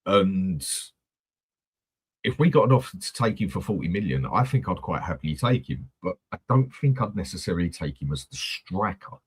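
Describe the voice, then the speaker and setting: A man's voice: low-pitched, sing-song, normal speed, husky. A man hosting a casual football fan chat, blunt and swearing freely. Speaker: host of a football fan channel.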